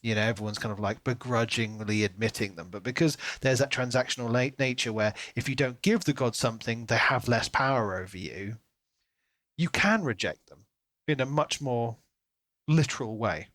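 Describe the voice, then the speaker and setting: Male podcast co-host, mid-pitched, casual and enthusiastic, thinking aloud, very dry recording. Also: normal speed, fairly bright tone, no echo. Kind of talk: casual book discussion.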